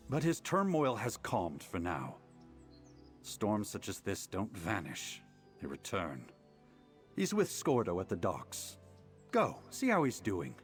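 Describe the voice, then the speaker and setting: An actor playing a grave, learned mage advisor in a fantasy video game, speaking in a deliberate, formal, solemn way. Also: male voice, lowish voice.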